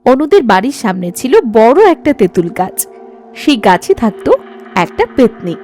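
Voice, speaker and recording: Female cartoon narrator, high pitch, animated intonation, scripted storytelling, soft music underneath. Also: moderate pace.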